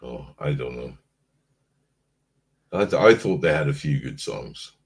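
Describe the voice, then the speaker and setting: Man, very low voice, stoned, drowsy, rambling, trails off. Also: expressive, a little slow, creaky. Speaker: podcast co-host. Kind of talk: casual live-stream chat.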